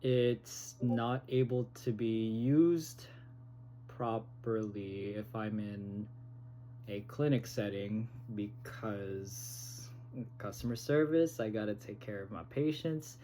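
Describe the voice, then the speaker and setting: Man vlogger, low mellow voice, slow and halting, recorded on a phone. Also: slight background noise, low-quality recording. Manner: halting, hesitant, with many pauses. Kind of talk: casual vlog talk.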